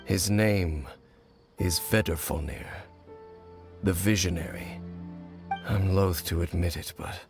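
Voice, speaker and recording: A grave old-world warrior speaks in a low, weighty register over clear background music. He is formal and measured, brooding on old betrayal as in a fantasy video game. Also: exaggerated voice. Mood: bitter, solemn. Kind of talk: scripted fantasy game dialogue.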